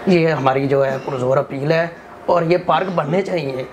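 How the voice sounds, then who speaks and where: A man from Pakistan with a sore throat, answering an interviewer earnestly and making appeals, often filling pauses with set phrases. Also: a little high, crowd noise. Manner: earnest, earnestly appealing, with frequent "jo hai" fillers. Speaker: forest restoration activist, interview guest.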